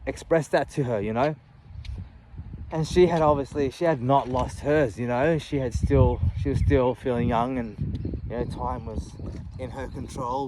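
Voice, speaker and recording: A man in his late thirties speaks in a frank, searching monologue, stopping and restarting as he works through his thoughts. His voice sits at an everyday middle pitch. A faint background noise runs under the talk. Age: 30s. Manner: candid, halting, many restarts and "you know". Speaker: vlogger. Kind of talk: personal reflective monologue.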